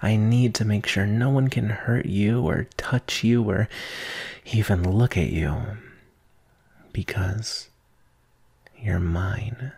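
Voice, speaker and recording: A man playing an obsessive, possessive, lovesick kidnapper in an ASMR roleplay, breathy and often near a whisper, speaking intensely and intimately. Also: lowish voice, a little mellow, heavily acted. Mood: tender, obsessive. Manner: slow, intense, intimate, addressing a captive darling.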